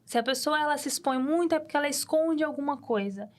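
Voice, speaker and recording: A woman's voice: sits high, fast. A woman, hosting a studio podcast and thinking aloud in a casual, reflective way, with restarts and open questions. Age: 20s. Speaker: podcast host. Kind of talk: casual chat.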